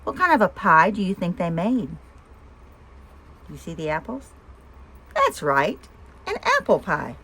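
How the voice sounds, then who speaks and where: A woman's voice: lowish voice, wildly swooping pitch, measured pace, long pauses, sharp tone, breathy edge. A woman hosting a children's read-aloud, telling the story and putting questions to young listeners. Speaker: storybook reader and host.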